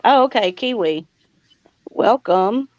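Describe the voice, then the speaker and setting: A woman's voice: medium pitch, expressive, slow. A woman hosting a casual live chat, speaking in a folksy way and greeting guests and viewers with y'all. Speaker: live chat stream host. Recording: dull lo-fi audio.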